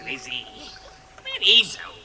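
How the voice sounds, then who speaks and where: An actor playing a tipsy, grumbling elf servant of the cellars in a vintage radio drama. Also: male voice, fairly high pitch, huge pitch swings, takes it slowly, exaggerated voice. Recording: echoing hall, noisy old radio drama.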